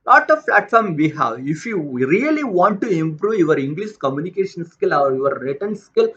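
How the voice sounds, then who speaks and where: A man with a Tamil accent, a native Tamil speaker from India, talking about his own life in a halting way, with many restarts and repeated words. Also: sits high, sing-song, a bit bright. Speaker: motivational vlogger. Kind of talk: unscripted personal talk. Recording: dull lo-fi sound.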